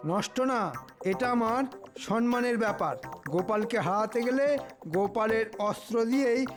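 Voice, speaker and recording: A man's voice: high voice, moderate pace, over music, lightly acted. A man voicing a scheming, self-important cartoon royal minister, bossy, pompous and impatient.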